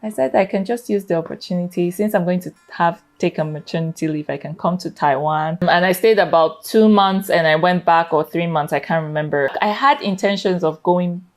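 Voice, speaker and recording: Nigerian-accented woman, lowish voice, relaxed guest chat, laughs a lot, faint music behind. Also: a little fast, few pauses. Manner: relaxed, conversational, laughs often. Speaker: interview guest. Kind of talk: casual interview chat.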